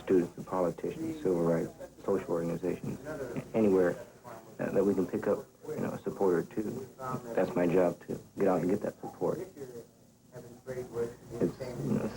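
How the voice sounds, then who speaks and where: A man speaking reflectively in a documentary interview, earnest and measured, with halting phrasing. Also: sits low, gravelly, rough recording. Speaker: boycott coordinator and organizer.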